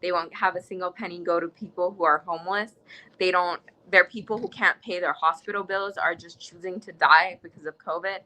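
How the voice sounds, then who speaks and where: A woman's voice: on the low side, pushed and bright, poor audio. A teenage girl with an American accent, a guest in a casual interview, talking with passion and emphasis in long run-on stretches. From United States. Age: teens. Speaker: interview guest, youth nonprofit director.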